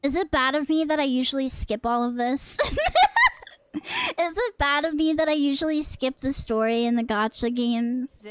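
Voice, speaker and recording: A woman live-streaming a game, chatting casually, swearing freely and blurting out quick reactions. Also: sits high, clear, resonant tone. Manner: reads on-screen game text aloud between comments.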